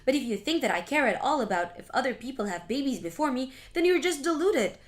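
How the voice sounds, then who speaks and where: A woman's voice: high voice, sing-song, fast. A woman voicing an indignant grown daughter. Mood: firm. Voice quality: sharp, exasperated. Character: indignant adult daughter, outspoken.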